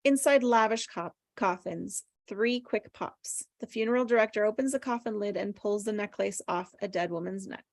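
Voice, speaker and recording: A woman narrates a script's stage directions at a table read. Her mid-range voice has a noticeable creak, and the recording is close and dry. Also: mid-range voice, moderate pace, few pauses, no echo.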